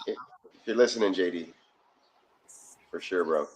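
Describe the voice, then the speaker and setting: A man co-hosting a casual sports watch-party livestream from his garage, chatty and quick to laugh. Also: sits low, over a noisy crowd. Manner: laughs often, rambling and chatty. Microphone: phone.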